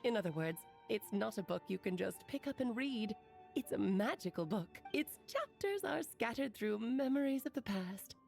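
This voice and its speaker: A woman's voice: fairly high pitch, very animated, normal speed, vocal fry, darkish tone, soft background music. A woman playing a playful, teasing witch aunt in an anime game, with whimsical, theatrical airy confidence. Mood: explaining, playful.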